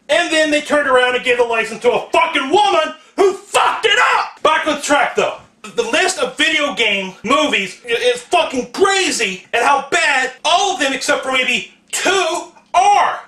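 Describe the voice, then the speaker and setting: A man hosting a profane comedic rant in an exasperated, emphatic, shouting manner. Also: very high-pitched. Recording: echoey room, distant microphone.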